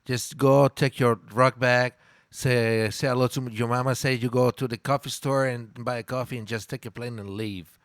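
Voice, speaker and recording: A man with an Italian accent, a native Italian speaker, talking in a casual interview. He tells lively stories, repeats words and stops to search for English words. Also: mid-range voice, normal speed, hardly any pauses, clear, resonant tone, no room sound, studio quality. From Italy. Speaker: guest.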